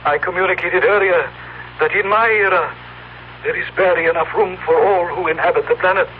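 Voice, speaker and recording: An actor playing a cold, proud exterminator from the future, speaking in stilted, formal, precise phrases in a radio drama. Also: male voice, sits high, fairly even intonation, a little fast, lightly acted. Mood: coldly proud. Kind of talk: 1970s radio drama acting. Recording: noisy, tinny old radio broadcast.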